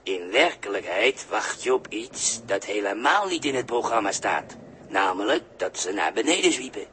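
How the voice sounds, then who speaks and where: An actor playing a sly, patient bystander who is mocking and quietly menacing, in deliberate, condescending 1960s radio drama style. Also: male voice, fairly low pitch, sing-song. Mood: smug, teasing. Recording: noisy early 1960s radio recording.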